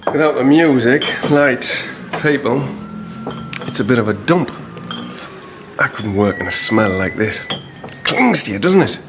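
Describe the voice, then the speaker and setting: An actor playing a police detective who probes a suspect with pointed, sardonic questions. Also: male voice, mid-range voice, animated, a little slow, music in the background. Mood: probing. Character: persistent male police detective. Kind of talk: radio drama acting.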